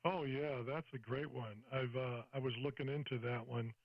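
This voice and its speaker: A man's voice: mid-range voice, fairly even intonation, normal speed, no room sound, dull audio. An older American man chatting casually and at length as a ham radio operator, handing over with radio procedure words. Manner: chatty, says "over" to hand off. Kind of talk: casual two-way radio chat.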